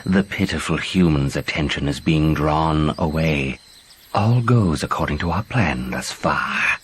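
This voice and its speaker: An actor playing a scheming dark elf assassin in a video game, insinuating, theatrical and coolly deferential. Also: male voice, extremely low pitch, unhurried, a little hiss or hum. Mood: conspiratorial. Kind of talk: scripted video game cutscene acting.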